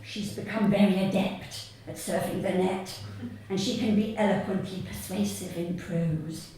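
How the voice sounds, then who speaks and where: A woman reading her comic short story live to an audience, in a deadpan, measured way with deliberate pauses. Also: medium pitch. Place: theatre stage at a live reading event. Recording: echoey hall, distant mic, audience noise. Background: audience laughter.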